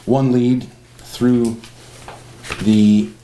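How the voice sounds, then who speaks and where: A man's voice: medium pitch, level tone, extremely slow, dark tone. A man chairing a town board meeting and giving a report. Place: indoor public meeting room. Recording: echoey meeting room with clear background noise.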